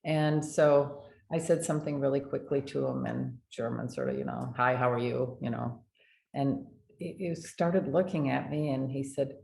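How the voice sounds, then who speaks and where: An older American woman, a dental hygienist, telling animated stories in a casual interview. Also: very deep voice, normal speed, creaky. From United States. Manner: animated anecdotes with laughter. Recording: echoey room, faint noise.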